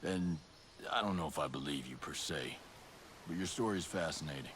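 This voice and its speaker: A gruff, sardonic soldier in a video game cutscene. His voice sits low and he talks in a plain, wry way. Ambient noise runs clearly behind him. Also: animated, brisk. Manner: dry, wry, plain-spoken. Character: gruff, sardonic modern male special forces soldier.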